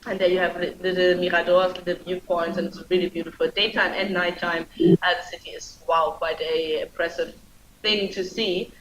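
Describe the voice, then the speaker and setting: A woman's voice: on the low side, little pitch movement, moderate pace, a bit bright, rough recording. Chatty female stream host, faint noise. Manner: chatty, loose, many fillers. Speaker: show host. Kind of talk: live stream hosting.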